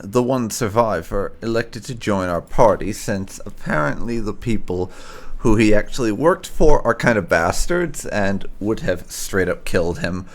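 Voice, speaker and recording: A man giving casual, sweary and wry commentary as the host of a gaming video. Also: fairly low pitch.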